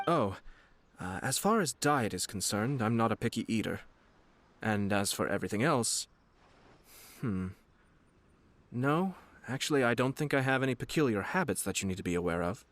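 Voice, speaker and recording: An actor playing a refined young nobleman in a video game, courteous, composed and slyly teasing, with formal, measured speech. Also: lowish voice, a little hiss or hum. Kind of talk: scripted game character voice lines. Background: background music.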